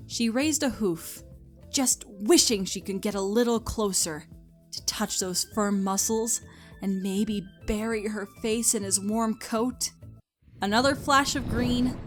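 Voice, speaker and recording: A woman's voice: medium pitch, unhurried. Woman narrating a story over music. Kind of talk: expressive scripted story narration.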